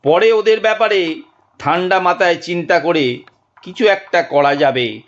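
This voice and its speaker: A man narrating a dramatic first-person audio story in a deliberate, suspenseful storytelling manner. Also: sits high. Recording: muffled studio narration over music.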